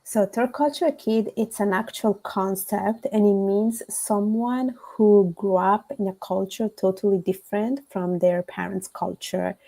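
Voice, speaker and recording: A woman's voice: mid-range voice, fairly even intonation, measured pace, vocal fry, few pauses, warm tone. A woman from Italy with a strong Italian accent, speaking earnestly as a podcast guest, with frequent fillers. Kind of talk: interview conversation.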